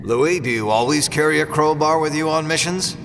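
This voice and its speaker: An actor playing a hot-headed, suspicious mercenary robot pilot in an audio drama, blunt and impatient. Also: male voice, a little fast, music in the background, natural voice. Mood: wary, irritated. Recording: long reverb, rumbling vehicle noise behind.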